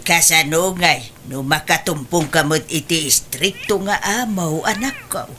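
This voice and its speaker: A woman's voice: low-pitched, unhurried, sharp tone. A woman playing a caring older mother, anxious and doubtful, in an audio drama. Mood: worried, caring. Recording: clearly noisy background.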